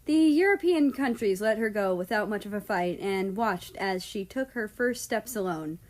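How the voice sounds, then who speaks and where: A woman's voice: mid-range voice, expressive, moderate pace. A woman narrating a scripted story in a storybook style. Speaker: fan fiction narrator.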